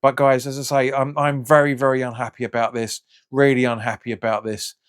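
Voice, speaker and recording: A man hosts his football fan channel and rants casually about the club's decisions. His voice is slightly raspy and hoarse from hay fever, and he rambles, stops short and restarts his sentences. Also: medium pitch, flat delivery, a little fast. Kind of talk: casual reaction vlog.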